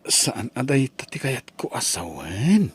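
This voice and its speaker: Man acting a soft, hesitant young student suitor. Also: medium pitch, sing-song, slow, husky, exaggerated voice. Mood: shy, tender. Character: shy, tender young male college student in love. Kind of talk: Ilocano radio-style drama acting.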